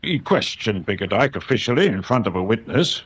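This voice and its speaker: Radio drama role for an older English councillor: low, husky, pompous and blustering. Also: male voice, expressive, fairly quick, a bit bright, exaggerated voice. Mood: guarded, stiff. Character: stuffy, testy older male town councillor.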